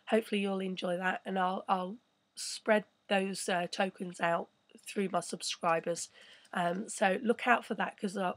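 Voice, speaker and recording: A woman hosting a casual live stream, chatting and rambling with a croaky voice. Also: mid-range voice, dry recording. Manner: chatty, rambling, reads viewer messages. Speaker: crypto channel host.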